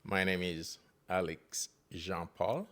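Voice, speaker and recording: A man in his seventies from Haiti, speaking in a halting way with pauses as an interview guest. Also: sits low, a bit bright. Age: 70s.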